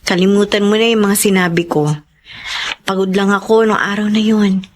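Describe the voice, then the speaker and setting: A woman's voice: medium pitch, level tone, normal speed, close to an everyday voice. A woman playing a weary, long-suffering older sister and breadwinner in a Tagalog radio drama, with a heavy emotional delivery. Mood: gentle, reconciling.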